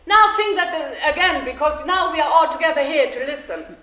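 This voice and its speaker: A woman voicing an excited shoemaker who loudly orders his household about. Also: high-pitched, fairly quick, pitched above the performer's own voice. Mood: eager. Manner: loud and eager, giving orders. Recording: roomy echo, faint noise.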